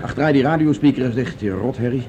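Actor as fast-talking, self-assured space pilot. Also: male voice, medium pitch, warm tone, muffled recording, a little hiss or hum, lightly acted. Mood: concerned. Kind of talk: Dutch radio drama acting.

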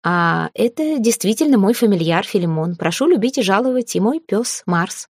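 A woman's voice: medium pitch, animated, moderate pace, a little mellow, slightly rough, no echo, studio quality. A woman voicing a stubborn, plain-spoken young heroine newly made an aristocrat, in a fantasy storybook. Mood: amused, polite.

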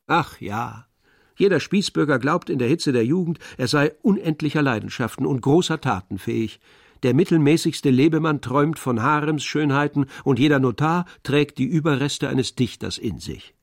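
An actor playing the all-knowing narrator of a literary tragedy in a radio drama, telling it in a measured, expressive way. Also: male voice, on the high side, vocal fry, no echo, clean studio sound. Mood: wry, detached.

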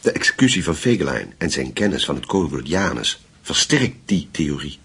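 An actor playing a grave, methodical investigator in a radio drama, with a husky voice and a measured, deliberate delivery. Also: male voice, rumbling low, very animated, sharp tone, exaggerated voice. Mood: thoughtful, probing. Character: grave, methodical male investigator piecing together a wartime secret. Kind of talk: Dutch radio play acting.

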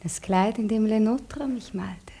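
An actress playing a fragile, melancholy wife in a German radio drama, intimate and breathy, often close to a whisper. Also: female voice, mid-range voice, a little slow, dark tone. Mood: tender, wounded. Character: fragile, melancholy exiled wife, tender and fatalistic.

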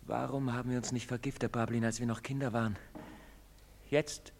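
A man's voice: mid-range voice, level tone, brisk, creaky, slight background noise, close to an everyday voice. An actor playing a bitter, hunted young man in a German stage drama, brooding and breaking into anguish. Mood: bitter, resigned. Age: young adult. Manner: brooding, swinging into anguished outbursts. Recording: reverberant theatre hall recording.

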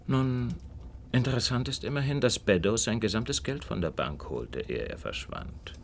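A man acting a self-assured, keen-minded detective in a radio drama, friendly in a condescending, deliberate way. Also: medium pitch, very animated, vocal fry, slight background noise. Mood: confident deduction.

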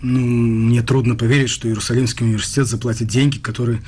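A man's voice: medium pitch, little pitch movement, fast, a little mellow, slight background noise, close to an everyday voice. An actor playing an earnest, slightly awkward interviewer in a radio play, formal and probing in his delivery. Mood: probing, skeptical.

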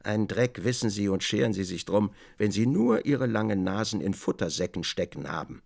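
Male narrator for a radio play, lively pitch, gravelly creak, literary storytelling. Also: normal speed. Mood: measured storytelling. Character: literary storyteller, also voicing the protagonist's brief remarks.

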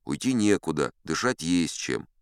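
An actor playing a seasoned, unflappable sea captain who spins tall tales in a chatty, wry, theatrical audiobook manner. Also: male voice, rumbling low, very animated, normal speed, clean tone, no room sound, studio quality. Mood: animated, confiding. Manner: conversational, wry, leisurely asides to the listener. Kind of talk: theatrical audiobook storytelling in first person.

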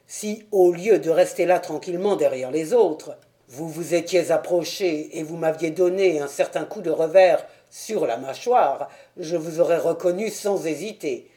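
A woman voicing a burly, stubborn male gatekeeper and former boxer with a gruff, blunt delivery in an audiobook story. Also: sits low, unhurried, mellow. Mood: astonished, admiring. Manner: firm, blunt.

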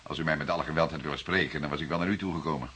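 An actor playing a stern, formal police detective with a sardonic, probing way of talking in a radio play. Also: male voice, extremely low pitch, steady tone, very fast, pushed and bright, a little hiss or hum. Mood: calm, dry. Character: stern male police detective, patient but explosive interrogator. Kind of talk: Dutch radio play dialogue.

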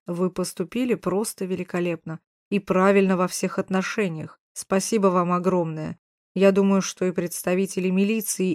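A woman reader plays a mild police investigator in a story. He is courteous and formal, placing his words with measured care. The sound is close and dry. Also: medium pitch, warm tone, no echo, natural voice. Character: polite, timid male police investigator.

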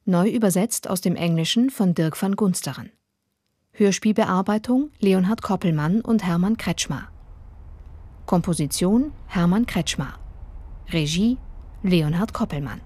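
A woman announces radio play credits from a script. Her voice is fairly low with a husky grain, and the sound is very dry. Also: a little slow, darkish tone, no room sound.

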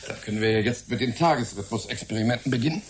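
A man's voice: medium pitch, fast, a little hiss or hum, close to an everyday voice. An actor playing a genial, erudite older scientist in a German radio drama, savoring his words in a fatherly way. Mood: genial, absorbed. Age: middle-aged. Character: genial, enthusiastic older titled scientist, Michael's fatherly senior.

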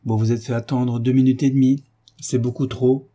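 A man's voice: fairly high pitch, big pitch swings, brisk, dark tone, breathy edge, voice pushed up. Man voicing a sour, pinched spinster supervisor. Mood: curt, pinched. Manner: curt, dry, officious. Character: sour, prim, snappish spinster school supervisor.

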